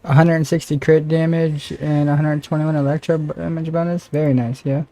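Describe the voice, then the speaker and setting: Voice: mid-range voice, level tone, fairly quick, dark tone. A game streamer chatting casually to viewers on a livestream.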